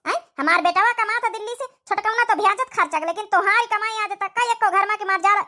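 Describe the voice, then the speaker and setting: Woman doing a shrill old Awadhi mother-in-law. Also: fast talker. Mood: indignant. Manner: nagging, repeats sharp questioning tags. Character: scolding, indignant old village mother-in-law. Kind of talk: comic cartoon dialogue.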